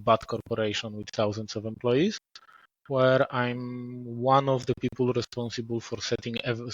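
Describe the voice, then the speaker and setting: A man, a guest author speaking in a measured way, often pausing to rephrase. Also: medium pitch, a bit bright, no echo, lo-fi sound. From Poland. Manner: measured, pauses and rephrases mid-sentence. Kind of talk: casual podcast interview.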